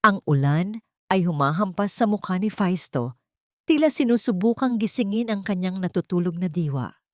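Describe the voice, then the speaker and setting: A woman's voice: medium pitch, lively intonation, moderate pace, darkish tone, no room sound, studio quality. A woman reading a scripted dramatic audiobook as narrator, in a solemn, suspenseful manner. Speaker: story narrator.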